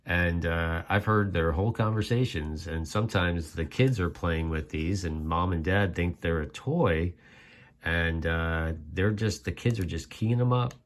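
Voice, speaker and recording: Man, low voice, informal host explaining things conversationally, folksy asides. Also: a little slow. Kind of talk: casual explainer.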